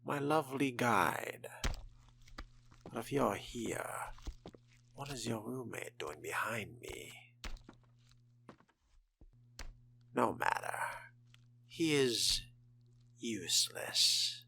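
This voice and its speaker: Guy doing a refined sardonic vampire for a game roleplay, slow and dry, swoops up and down, rain and noise behind him. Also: mid-range voice, expressive, gravelly, bright, forceful tone, exaggerated voice. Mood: dry, courtly. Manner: formal, archaic wording, dry and theatrical, often murmuring. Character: refined, sardonic male fledgling vampire exploring a haunted hotel. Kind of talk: in-character video game roleplay commentary.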